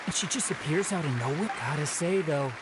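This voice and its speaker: A man's voice: a little high, lively intonation, brisk, over music, close to an everyday voice. A man playing an admiring theatregoer commenting from the audience in a video game. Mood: impressed. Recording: noisy crowd ambience behind voice.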